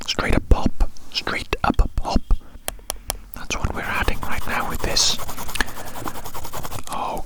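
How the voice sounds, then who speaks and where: Close-up breathy ASMR whisper, slow and rambling. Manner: slow, rambling, repeats phrases softly. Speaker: ASMR performer. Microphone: very close microphone.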